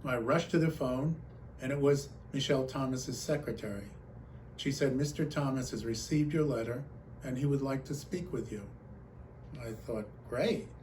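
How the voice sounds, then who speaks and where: A man giving a talk as a personal storyteller, recounting remembered exchanges in an animated manner. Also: medium pitch, normal speed, a little hiss or hum, poor audio. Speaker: conference speaker.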